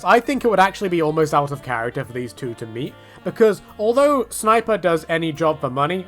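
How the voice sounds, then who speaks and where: A man giving a casual, opinionated rant in a rambling, tongue-in-cheek way, often interrupting himself. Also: on the high side. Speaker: host of a game-character rant video. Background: music behind the voice.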